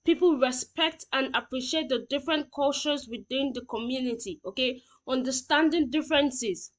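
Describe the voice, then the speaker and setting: A woman's voice: high-pitched, moderate pace. A woman teacher reading out lesson points and explaining them simply, often checking that learners understand.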